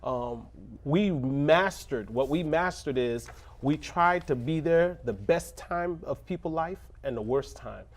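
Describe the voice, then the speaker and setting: A man's voice: a little high, expressive, takes it slowly. Earnest, joking pastor man. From Little Haiti, Miami. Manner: earnest, preacherly, laughs and jokes. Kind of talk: casual interview answers.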